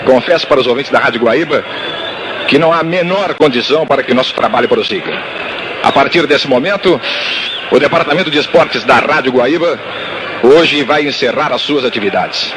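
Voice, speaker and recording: Male sports announcer, solemn on-air statement, measured; mid pitch, noisy old broadcast. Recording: noisy archival radio broadcast.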